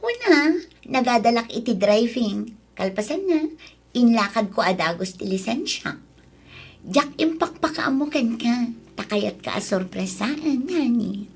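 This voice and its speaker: Ambitious, sweet-talking young girlfriend: high voice with a husky edge, Ilocano accent, breezy coaxing, radio drama. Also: sing-song, measured pace, heavily acted. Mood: proud, teasing.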